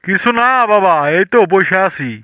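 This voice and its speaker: A man plays a poor, hardworking village father in a comedy cartoon. His voice is high and bright with a close, dry sound. He speaks in a homely, fatherly way. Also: lively intonation, normal speed, no room sound. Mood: gentle, fatherly.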